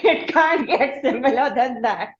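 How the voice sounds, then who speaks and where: Casting: female podcast interviewer, Indian accent, fairly high pitch, chatty conversational delivery, slightly muffled lo-fi recording. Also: measured pace, crowd noise.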